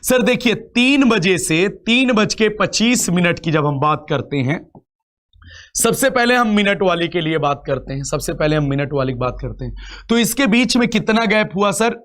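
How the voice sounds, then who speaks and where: A man's voice: high-pitched, expressive, moderate pace, a bit bright. A man teaching an exam class step by step, repeating phrases and asking rhetorical questions. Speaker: reasoning teacher for exam coaching. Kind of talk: live online lecture, explaining step by step.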